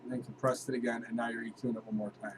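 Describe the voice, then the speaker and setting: A man's voice: mid-range voice, flat delivery, racing, slight background noise, poor audio. A man chatting casually as a musician sitting in on a session. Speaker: musician joining a mixing session. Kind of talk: casual chat.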